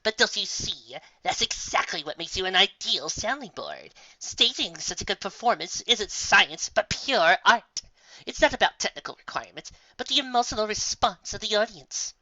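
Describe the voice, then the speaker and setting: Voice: medium pitch, lively intonation, moderate pace, bright, forceful tone, no echo, highs cut off, voice pushed up. A reader voicing a haughty, dismissive female unicorn stage magician in a fan story, with grandiose, faintly condescending delivery.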